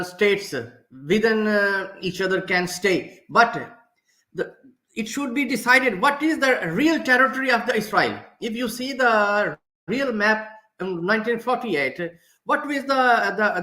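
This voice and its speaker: Pakistani guy, urdu native, high bright voice, halting english on a panel, echoey. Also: expressive. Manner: halting, non-fluent English. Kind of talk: extemporaneous panel discussion.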